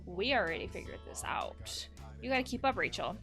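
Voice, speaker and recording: A woman's voice: medium pitch, expressive, fairly quick, a bit bright, soft background music. A woman hosting a casual let's play, reading game text aloud and adding chatty asides as she reacts. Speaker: host of a video game let's play, therapist.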